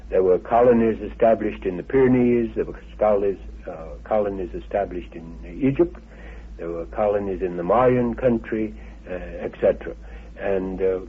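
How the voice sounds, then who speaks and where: A man giving an unscripted interview explanation in halting, deliberate phrases. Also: lowish voice, husky. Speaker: interviewee recounting his father's teachings. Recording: muffled, band-limited old radio recording.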